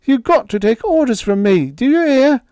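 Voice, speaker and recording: A man voicing a frightened, desperate man who pleads in a frantic, high-pitched voice. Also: big pitch swings, moderate pace, a little mellow, clean tone, no echo. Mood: panicked.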